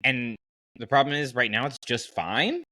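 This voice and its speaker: Bright-voiced man chatting on a podcast. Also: medium pitch, expressive, moderate pace, dry recording. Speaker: podcast host. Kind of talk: casual podcast chat about fiction.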